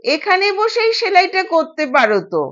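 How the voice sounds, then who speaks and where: Strict older household matriarch, played by a female storyteller, curt and commanding, very high voice, lo-fi audio. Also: big pitch swings, normal speed, fairly bright tone, full character voice. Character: strict, bossy older mother-in-law of the household. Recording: dull, lo-fi sound.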